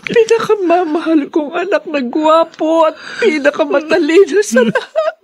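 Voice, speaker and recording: An actress in a Filipino radio drama playing an ailing, doting old mother, breathy and frail, with halting, emotional delivery. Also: very high-pitched, expressive. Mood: tearful joy.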